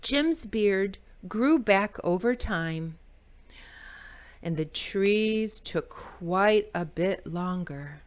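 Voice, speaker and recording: A woman's voice: medium pitch, extremely slow. A woman hosting library storytime, reading a picture book expressively for children and adding chatty asides. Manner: animated, exclaiming, dramatic emphasis for children.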